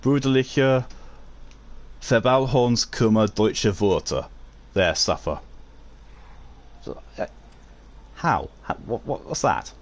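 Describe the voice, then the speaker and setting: A man's voice: mid-range voice, animated, takes it slowly, pauses often. A man casually chatting while streaming a video game, rambling and often chuckling as he answers chat. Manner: reads and answers chat messages while playing.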